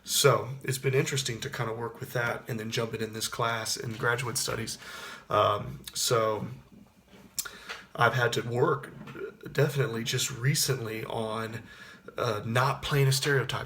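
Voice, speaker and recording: A man in his thirties with a Texas accent talks casually, circling back and restarting his thoughts. His baritone sits at an ordinary middle pitch. A light background hiss runs under the recording. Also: fairly even intonation, moderate pace, sharp tone. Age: 30s. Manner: rambling, with frequent restarts and fillers. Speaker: actor and singer. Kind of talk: casual spoken reflection to camera.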